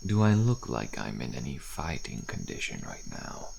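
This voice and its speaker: A weary deserter from a dark fantasy world, with an extremely low, heavily creaking male voice. He is frail but helpful, speaking with deliberate, old-fashioned phrasing that swings widely between rumble and murmur. Faint night crickets sit behind him. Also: wildly swooping pitch, full character voice. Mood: coaxing, self-deprecating. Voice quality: very deep, gravelly, breathy at times. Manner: slow, archaic, deliberate, often dropping to a murmur. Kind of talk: ASMR audio roleplay.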